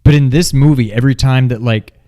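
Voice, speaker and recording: A man co-hosting a movie review in a casual chat, with informal, halting phrasing. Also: mid-range voice, steady tone, mellow. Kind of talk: casual chat, film discussion.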